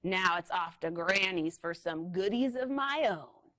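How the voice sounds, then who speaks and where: Woman reading as a mean monster truck, slow growl, greedy, dry sound. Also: on the low side, expressive, a bit bright, no room sound.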